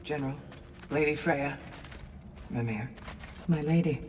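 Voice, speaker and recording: An actress playing a proud Valkyrie warrior in a video game, courtly, formal and deliberate. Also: female voice, deep voice, a little mellow. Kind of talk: video game dramatic acting. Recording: distant, echoing cave, faint ambient noise.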